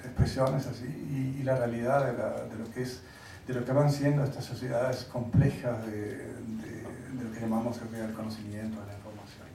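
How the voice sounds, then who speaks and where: A man lectures in a halting, wry way, hunting for words between fillers. His voice is gravelly. He is picked up from a distance in a big echoey hall. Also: mid-range voice, flat delivery, dark tone, a little hiss or hum. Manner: wry, conversational. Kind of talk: lecture to an audience.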